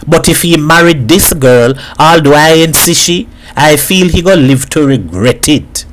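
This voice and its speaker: A man's voice: fairly high pitch, animated, measured pace, a little hiss or hum, voice pushed up, low-quality recording. A man voicing a blunt, teasing, streetwise Trinidadian man in colloquial speech for a story. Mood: exasperated.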